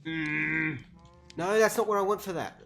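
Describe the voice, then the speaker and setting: A chatty Australian streamer in their late twenties, talking through a game at a mid-range pitch. The delivery rambles with fillers and turns self-deprecating now and then, with a faint hum of ambience behind. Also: a little slow, fairly bright tone. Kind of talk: casual livestream commentary while playing.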